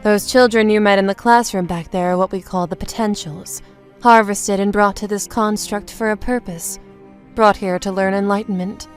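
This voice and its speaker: A low-voiced female mystic played by an actress in an audio comic book drama, serene and grave. She explains things in a measured, solemn way, with music underneath. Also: fairly even intonation, close to an everyday voice. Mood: calm explaining. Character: serene mystical woman guru from another realm, gravely explaining.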